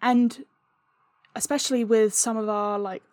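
A woman's voice: fairly high pitch, fairly even intonation, a little slow, darkish tone, no room sound, clean studio sound. A woman explaining her creative process earnestly and conversationally, as a guest in an interview. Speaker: podcast guest, audio drama writer.

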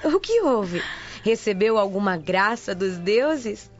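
Playful young Roman lady, Brazilian accent, radio drama, very expressive, unhurried, faint music. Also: mid-range voice, highs cut off. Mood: cheerful, curious. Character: playful, curious young Roman woman coaxing secrets.

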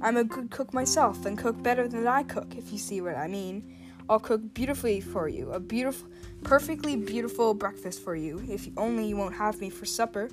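A woman's voice: on the high side, a little fast, natural voice. A woman voicing a small, frightened male hobbit who stammers and pleads politely, over guitar music. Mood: pleading.

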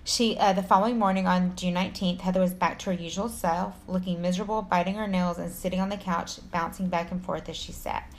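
A woman's voice: lowish voice, steady tone, fairly quick, hardly any pauses. A woman from Texas with an American accent, co-hosting a podcast, reading a story in an informal way with casual asides and stumbles. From Texas, United States. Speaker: true crime podcast co-host.

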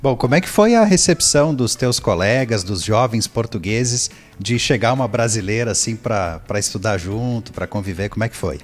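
A male co-host with a lively, varied pitch, drawing out his questions to the guest. Music plays clearly behind his voice. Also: moderate pace, hardly any pauses. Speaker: podcast co-host. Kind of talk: interview questions and sponsor reads.

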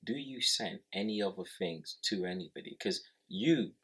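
Husky low-voiced male co-host. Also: lively intonation, normal speed, sharp tone. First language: not English. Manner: teasing, jokey, presses with questions. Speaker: podcast co-host. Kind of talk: casual chat.

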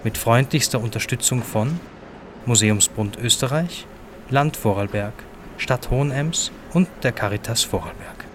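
A man's voice: medium pitch, unhurried. A man reading out scripted credits as an announcer. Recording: clear ambient background noise.

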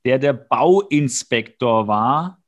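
A man's voice: medium pitch, lively intonation, very slow. A male podcast co-host casually recapping and bantering, with a sarcastic, mock-exasperated way of talking.

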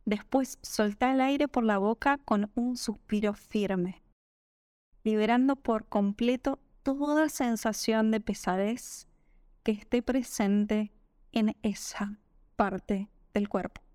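A woman with a Rioplatense Spanish accent narrating a guided meditation in a gentle, calming, unhurried manner. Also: fairly high pitch, no room sound, studio quality. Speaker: yoga nidra meditation guide.

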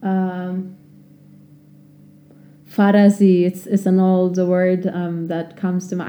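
A young woman with a Turkish accent, hosting a casual podcast lesson and talking unscripted with many pauses and fillers. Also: on the low side, warm tone. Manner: unscripted, many ums and restarts. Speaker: language teacher, podcast host.

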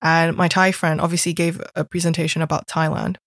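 A woman's voice: low voice, level tone, a little fast, dry recording, clean studio sound. A young woman from Hong Kong, chatting casually about her school days and peppering her talk with "like". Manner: chatty storytelling, heavy use of "like". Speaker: guest recalling school experiences. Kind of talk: casual chat.

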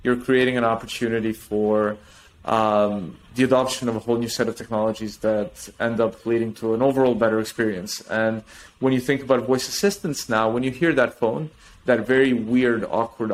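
A man talking as a guest on a podcast interview, explaining technology in an animated way with restarts and trailing thoughts. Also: mid-range voice, normal speed, poor audio. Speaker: tech company guest.

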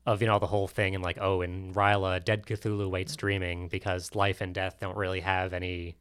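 A man's voice: low-pitched, level tone, normal speed, a bit bright, smooth. A man on a podcast panel, explaining things in casual conversation.

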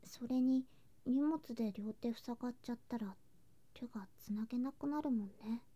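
A woman playing an affectionate, playful younger girl cousin in an intimate ASMR voice drama, speaking softly and coaxingly and slipping into whispers. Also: a little high, normal speed, close to an everyday voice. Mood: sweet, coaxing. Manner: soft, sweet, coaxing, drifting into whispers and singsong. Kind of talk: ASMR situation voice drama, intimate roleplay to listener.

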